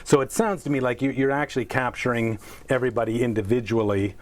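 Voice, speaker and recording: A man's voice: mid-range voice, fast talker, crowd noise. A man hosts an online interview in an ordinary mid-range voice. He is enthusiastic and rambles a little, circling back and rephrasing as he asks questions over a video call. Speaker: host, artistic director. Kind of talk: interview hosting.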